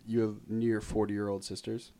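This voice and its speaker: A man with a fairly low voice hosts a relaxed podcast interview. He throws out slangy, curious questions in an easygoing tone. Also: normal speed. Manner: laid-back, slangy, curious. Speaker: podcast host. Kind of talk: casual interview chat.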